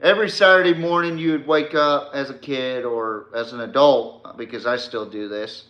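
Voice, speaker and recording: A man's voice: medium pitch, sharp tone, lo-fi sound. A man hosting a casual sports podcast, talking informally to a stream audience in a rambling, emphatic way. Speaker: podcast host. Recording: echoey room.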